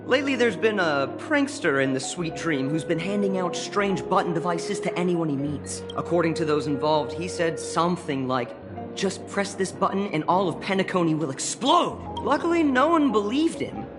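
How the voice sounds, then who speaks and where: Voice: medium pitch, lively intonation, moderate pace, natural voice. An actor voicing a polite, guarded security staffer in a studio game, formal and a little evasive. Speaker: game character. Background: game music.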